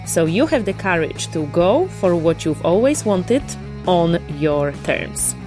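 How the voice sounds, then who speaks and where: A woman's voice: on the low side, big pitch swings, takes it slowly, over music. Upbeat woman hosting a podcast. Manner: enthusiastic, motivational. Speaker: podcast host.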